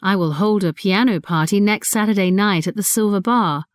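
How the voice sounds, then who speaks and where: Smooth woman reading scripted dialogue. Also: mid-range voice, normal speed, dry recording, clean studio sound. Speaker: voice actor in a listening test.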